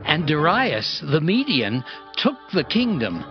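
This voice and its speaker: Slow, melodic male scripture narrator. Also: a little high, huge pitch swings. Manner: solemn, deliberate. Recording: dull lo-fi audio with music.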